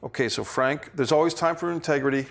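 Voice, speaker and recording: A man directing actors, giving feedback in deliberate, hesitant phrases in a studio. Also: a little high, creaky, a little hiss or hum. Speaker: film director. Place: indoor studio.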